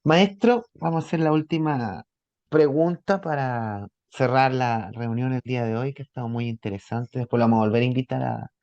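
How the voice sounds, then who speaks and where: A man moderating an online meeting informally and politely, with halting phrasing, heard through dull, roomy meeting audio. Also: medium pitch, steady tone, a little mellow. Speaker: host of the meeting.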